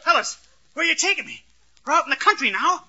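A very high-voiced timid funny man bluffing as a tough mobster, stammering and wisecracking. His pitch swings about in golden-age radio comedy style, on a muffled, noisy old recording. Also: sing-song, fast, pushed and bright, exaggerated voice. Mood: flustered, nervous. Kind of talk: 1940s-50s radio comedy acting.

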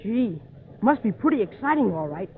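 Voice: mid-range voice, very animated, moderate pace. A performer playing an eager, wide-eyed small-town boy with folksy gee-whiz talk in old radio-drama style. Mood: eager, wondering. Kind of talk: 1940s-50s radio drama acting. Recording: tinny old radio recording.

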